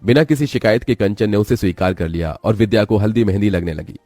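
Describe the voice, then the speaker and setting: A man narrates a scripted story. His voice is fairly low and mellow. The recording is dry and close, with no room sound. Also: fast talker, no room sound.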